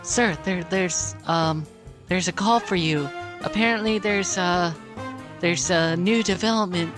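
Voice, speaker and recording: Woman acting a timid, lowish-voiced secretary. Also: lightly acted. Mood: nervous. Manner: halting, timid. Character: nervous, hesitant secretary, woman. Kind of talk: audio drama acting. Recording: music behind the voice.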